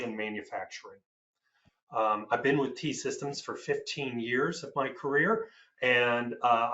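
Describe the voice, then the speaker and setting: A man in his fifties gives his professional background to a panel in a measured, businesslike way. The sound is roomy with a noticeable echo, and slightly dull. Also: mid-range voice, pushed and bright. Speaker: panelist, head of security. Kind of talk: panel self-introduction.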